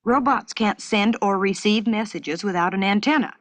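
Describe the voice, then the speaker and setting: A woman storyteller voicing a stubborn, excitable schoolboy in an emphatic, exclaiming manner for a children's story. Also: medium pitch, moderate pace, dry recording, close to an everyday voice. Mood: objecting.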